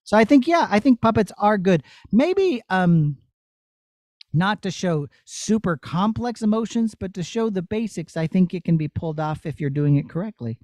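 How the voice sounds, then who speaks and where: A man's voice: sits high, animated, moderate pace, darkish tone, dry recording, studio quality. A man working as a puppeteer, casually explaining his craft to children in an encouraging, conversational way. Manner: encouraging, conversational, checking in with listeners. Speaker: puppeteer giving a master class.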